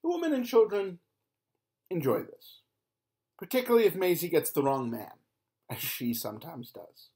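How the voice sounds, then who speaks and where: A man reading an audiobook aloud in a measured narrating voice, with emphatic lifts. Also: on the high side, sing-song, darkish tone. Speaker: audiobook reader.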